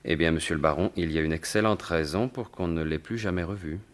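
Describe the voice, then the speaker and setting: A low, confident male voice from an actor plays a gentleman thief and sleuth in a vintage-style radio drama. He phrases everything formally and articulately, with wry, probing assurance. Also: quick pace, lightly acted. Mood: probing, grave. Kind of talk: French radio mystery drama acting in 1950s manner.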